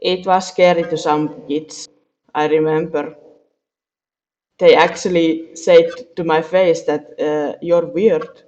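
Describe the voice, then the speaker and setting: A man speaks slowly and haltingly, his voice sitting a little high. He hesitates and restarts often. The sound is dull and lo-fi, like an online call. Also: little pitch movement, a little mellow. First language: Finnish. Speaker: podcast co-host. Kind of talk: casual group chat.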